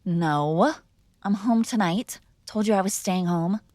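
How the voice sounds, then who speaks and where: A woman's voice: medium pitch, slow, a little mellow, no echo, clean studio sound. A young woman performing an audio roleplay as a defiant, sarcastic mob boss's daughter arguing with her father. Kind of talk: scripted ASMR girlfriend roleplay.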